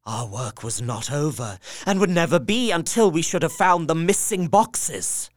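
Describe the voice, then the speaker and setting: A steady, earnest Victorian journal writer. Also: male voice, fairly high pitch, measured pace, no room sound, clean studio sound. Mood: tense. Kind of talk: audio drama narration.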